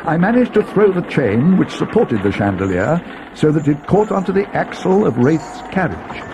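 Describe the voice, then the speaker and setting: An actor playing an earnest, loyal young friend of a detective, narrating a dramatic audio storybook. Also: male voice, on the high side, sing-song, normal speed, noisy background. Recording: 1980s read-along cassette.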